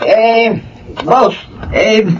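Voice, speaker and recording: A man playing a nervous, evasive employee in a Filipino radio drama, with a husky voice. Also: sits high, steady tone, extremely slow, slight background noise. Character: evasive, nervous, guilty-sounding male employee. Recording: dull lo-fi radio sound.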